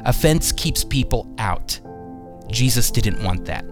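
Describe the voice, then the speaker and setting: A man teaching the Bible in an earnest, emphatic and deliberate way, in a sermon style. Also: medium pitch, lively intonation, music in the background.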